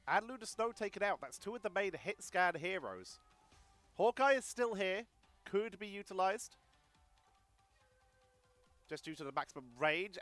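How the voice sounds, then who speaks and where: A man's voice: a little high, pushed and bright. Nasal man calling an esports match. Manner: chatty, thinks aloud between calls. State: nasal from a cold. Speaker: esports caster. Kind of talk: solo play-by-play esports commentary. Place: home setup.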